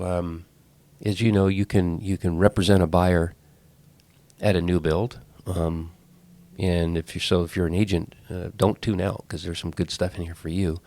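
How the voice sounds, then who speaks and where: Low-voiced congested man hosting a podcast. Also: a little mellow, dry recording. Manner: rambling, self-correcting. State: congested head cold. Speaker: podcast host. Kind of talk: casual talk.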